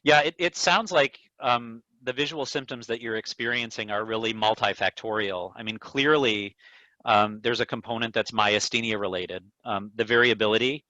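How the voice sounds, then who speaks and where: A man speaking as an eye doctor, explaining things to a patient in a measured, careful way over a video call. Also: mid-range voice, pushed and bright, no echo. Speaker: neuro-ophthalmologist answering patient questions. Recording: dull, lo-fi video call audio.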